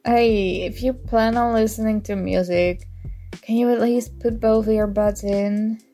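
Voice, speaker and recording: A woman's voice: a little high, fairly even intonation, measured pace, faint music behind. A young woman acting in an ASMR audio roleplay as a prickly but grudgingly fond student, teasing and defensive. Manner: teasing, defensive, flustered, soft-spoken tsundere.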